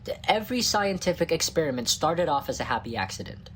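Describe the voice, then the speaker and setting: A man's voice: fairly high pitch, big pitch swings, fast, a bit bright, a little hiss or hum. A man playing a tiny, cocky, bumbling scientist in a comedic audio roleplay, smug and flirtatious with a flustered stammer. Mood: defensive, smug.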